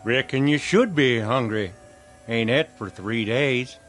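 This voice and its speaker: An actor playing a solemn, folksy country preacher in a radio drama, with a rural American accent. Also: male voice, mid-range voice, slow pace, fairly bright tone, faint music behind. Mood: wondering.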